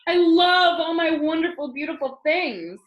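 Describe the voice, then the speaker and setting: A woman's voice: extremely high pitch, expressive, measured pace, lo-fi sound, pitched above the performer's own voice. A woman voicing an emotional, grand storybook king with dramatic exclamations. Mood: protesting. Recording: roomy, echoing room sound.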